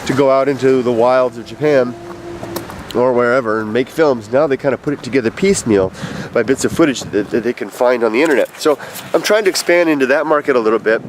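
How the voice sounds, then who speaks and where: A man in his fifties, stuffed up with allergies, leaving a rambling, halting video message full of fillers. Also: medium pitch. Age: 50s. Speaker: vlogger. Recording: noisy outdoor street recording.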